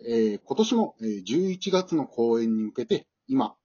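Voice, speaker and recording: A man's voice: medium pitch, big pitch swings, unhurried, dark tone, husky, highs cut off. A man speaking as a podcast guest, making a polite, casual, somewhat hesitant announcement.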